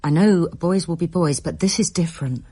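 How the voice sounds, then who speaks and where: A protective mother in a radio play, in a low, soft-edged husky voice. She is firm and maternal. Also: moderate pace. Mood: concerned, firm. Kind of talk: radio drama acting.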